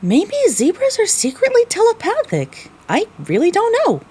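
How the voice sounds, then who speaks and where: A woman's voice: very high voice, huge pitch swings, normal speed, darkish tone. A woman narrating a scripted first-person story.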